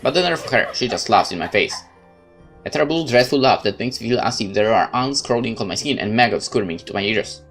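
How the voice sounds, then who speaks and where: Low-voiced man reading a horror novel. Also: animated, a bit bright, soft background music. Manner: measured, theatrical narration. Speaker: game let's-play narrator. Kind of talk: dramatic first-person reading of a visual novel.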